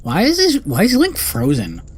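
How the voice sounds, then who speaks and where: A man's voice: high voice, wildly swooping pitch, normal speed, slightly rough. A young man giving casual let's play commentary, rambling and often correcting himself as host. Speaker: gaming video host.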